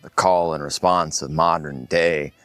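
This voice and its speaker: A man giving an opinionated off-the-cuff monologue, emphatic, pausing for weight between short phrases. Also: very deep voice, fairly even intonation, a bit bright, dry recording.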